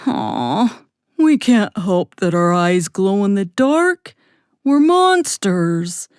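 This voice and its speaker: A woman voicing a lonely, good-natured male closet monster in a children's bedtime story, with a drawn-out, childlike manner. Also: medium pitch, sing-song, smooth, no room sound, clean studio sound. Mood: dejected. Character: Clyde, friendly, lonely closet monster, male, eager to please.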